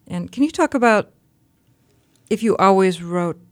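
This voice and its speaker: A woman's voice: medium pitch, animated, a little slow. A woman hosting a radio show, introducing a guest and asking questions in a plain, conversational way. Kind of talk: radio interview.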